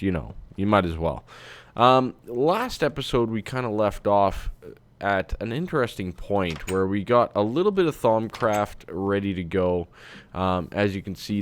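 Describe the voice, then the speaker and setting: A man with a stuffed-up, congested voice, chatting casually while he plays a game and thinks aloud as host. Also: lowish voice. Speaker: let's-play host. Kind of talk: casual gameplay commentary.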